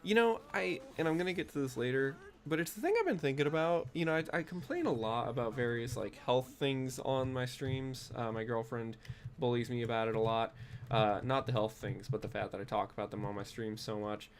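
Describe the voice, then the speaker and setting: A man's voice: mid-range voice, a little fast. A young man with a stuffy nose, chatting casually and rambling with many fillers while he streams a game. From United States. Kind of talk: casual gaming stream chat.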